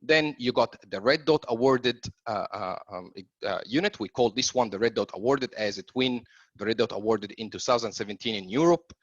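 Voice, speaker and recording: A man's voice: medium pitch, normal speed, dry recording. A man who speaks as a presents product training in an explanatory way, often stalling on ums and uhs. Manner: hesitant, frequent long ums and uhs mid-sentence. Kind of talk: explanatory slide presentation, loosely scripted. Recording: dull, lo-fi webinar audio.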